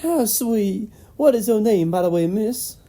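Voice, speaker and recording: A woman's voice: medium pitch, animated, measured pace, warm tone. A woman voicing a motherly old farm wife, fussing and hospitable, in a storybook reading. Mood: kindly.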